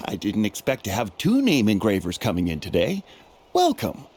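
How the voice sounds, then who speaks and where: A man's voice: fairly low pitch, huge pitch swings, measured pace, husky, exaggerated voice. A man playing a friendly, generous, easygoing shopkeeper in a video game. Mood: genial, generous. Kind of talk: English video game voice acting. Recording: game music behind the voice.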